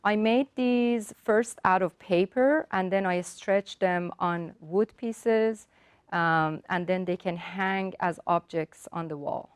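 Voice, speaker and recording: Slow-spoken woman, Persian native speaker. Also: on the low side, clean tone, no room sound. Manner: careful, step-by-step explanation with frequent ums and uhs. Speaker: artist giving a demonstration. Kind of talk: explaining her work and technique.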